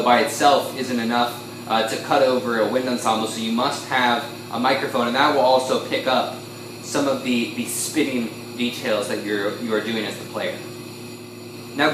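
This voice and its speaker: A man explaining a musical technique informally as an instructor, pausing and correcting himself as he goes. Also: mid-range voice, distant mic. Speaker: instructor demonstrating a talk box. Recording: echoey room, off-mic, some noise.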